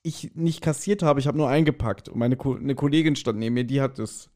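A man co-hosting a podcast, telling anecdotes in casual, animated chat and acting out bits as he goes. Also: medium pitch, fairly quick. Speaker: podcast co-host.

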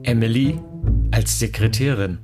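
Male credits announcer. Slow, measured delivery, middle pitch, music bed.

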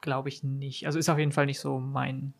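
A man's voice: medium pitch, level tone, a little fast, vocal fry. A man chatting as a podcast guest, explaining things in a conversational, tentative way. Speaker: podcast guest, hobby role-player. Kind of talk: casual chat.

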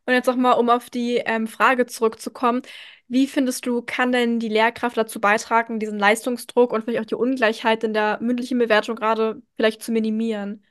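A woman's voice: a little high, level tone, fairly quick, a bit bright. A young woman hosting an interview, friendly and agreeable, chatting casually and often affirming what she hears. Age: young adult. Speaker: interviewer, host.

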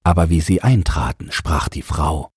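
A man's voice: animated, normal speed, a little mellow, dry recording, clean studio sound. A man narrating a fairy tale from a script, as the storyteller of an audio drama. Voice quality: deep, very husky.

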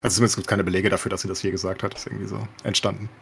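A man's voice: lowish voice, little pitch movement, very fast, gravelly, a little hiss or hum. A man in a casual podcast discussion, talking colloquially and opinionatedly with English words mixed in. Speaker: podcast co-host.

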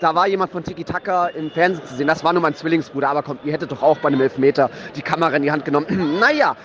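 A man's voice: a little high, very fast, fairly bright tone. A man giving a casual, chatty football report on site from a stadium, informal and joking. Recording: dull recording amid stadium crowd noise. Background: crowd and outdoor noise.

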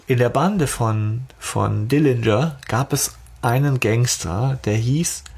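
A man's voice: mid-range voice, slow, creaky, darkish tone, breathy edge. A man hosting a podcast in casual chat, thinking aloud as he goes in a discursive way. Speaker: podcast host.

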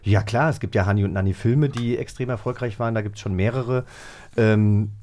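A man's voice: lowish voice, fairly quick, darkish tone. A man co-hosting a casual chat, explaining things eagerly. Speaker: co-host.

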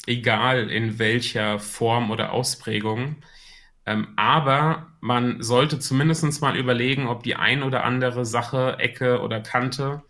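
A man's voice: medium pitch, pushed and bright. A man with a Hessian accent, a podcast guest talking about marketing in a thoughtful way with many filler sounds. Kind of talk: casual podcast interview.

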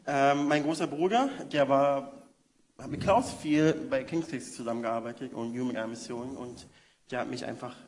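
A man's voice: medium pitch, moderate pace, darkish tone. A man, a youth worker telling his story in a chatty, self-deprecating way during a church interview. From Berlin, Germany. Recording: hall reverb, faint noise.

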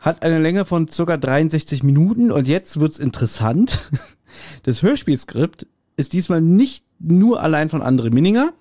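Male podcast co-host, fairly high register, informal and joking chat, very dry room sound. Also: lively intonation, moderate pace, warm tone, dry recording. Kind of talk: casual chat, reviewing an audio drama episode.

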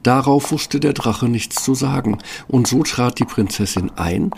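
A man narrating a scripted fairy tale as a storyteller, with a gravelly, husky voice. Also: mid-range voice, unhurried, darkish tone, slight background noise.

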